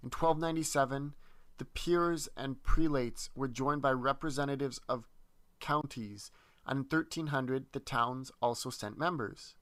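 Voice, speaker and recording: Male history presenter, mid-pitched, reads text aloud steadily, close dry recording. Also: measured pace, fairly bright tone, no echo.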